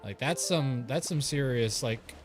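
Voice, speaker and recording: A man's voice: mid-range voice, unhurried. Grumbling man chatting during a stream. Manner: grumbling and easily frustrated by the game. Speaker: co-streamer and partner. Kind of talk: casual livestream chat while gaming. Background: game music.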